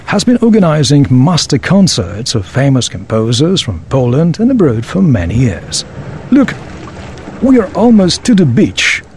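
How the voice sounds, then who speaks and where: A man acts as a well-informed local guide in a scripted audio play. His fairly high voice swings expressively as he presents information formally and helpfully. Background ambience sits under the speech. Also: darkish tone, a little hiss or hum. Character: knowledgeable, obliging male local tour guide. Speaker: tour guide.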